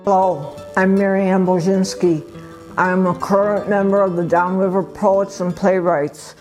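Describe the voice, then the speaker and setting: Even-toned woman reading her own poem. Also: medium pitch, unhurried, music in the background, low-quality recording. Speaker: poetry group member reading her poem. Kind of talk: prepared poetry reading.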